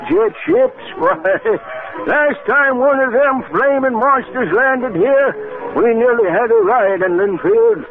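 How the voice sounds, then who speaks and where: An actor playing a folksy, crotchety village innkeeper with a rustic American accent in 1950s radio style. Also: male voice, very high voice, expressive, slow, faint music behind, full character voice. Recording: phone-like 1950s radio recording.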